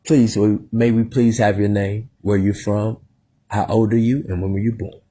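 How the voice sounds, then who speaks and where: Deep husky-voiced man in his thirties. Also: little pitch movement, normal speed, warm tone. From Plainview, Texas. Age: 30s. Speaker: podcast host. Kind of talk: live podcast interview.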